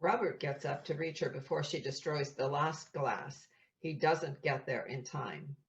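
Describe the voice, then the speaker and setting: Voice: medium pitch, a little slow, lo-fi sound. A narrator reading out scripted stage directions for an audio play in a plain, descriptive way.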